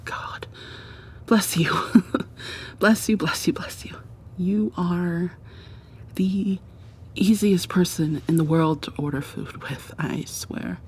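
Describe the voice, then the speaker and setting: A woman playing an affectionate, playfully dominant girlfriend in an intimate ASMR roleplay, murmuring softly and breathily to the listener, often sliding into whispers. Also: husky. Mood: tender, doting. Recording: audible background hiss with low rumble.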